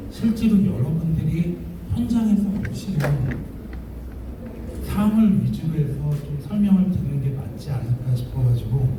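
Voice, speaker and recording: Echoey, distant male presenter with sore throat. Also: fairly high pitch. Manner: formal, polite, explanatory. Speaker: company chairman presenting at a business event. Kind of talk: business presentation to an audience. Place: event venue, public address to an audience. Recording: very echoey hall, distant, noisy.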